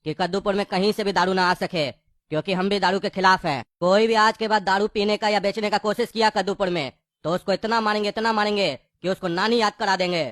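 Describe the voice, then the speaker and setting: Tough cartoon cop, acted out: boastful swagger, mid-pitched voice, dry close recording. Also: steady tone, a little fast, a bit bright, no echo, close to an everyday voice. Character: boastful, tough male police inspector. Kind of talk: Hindi cartoon dialogue.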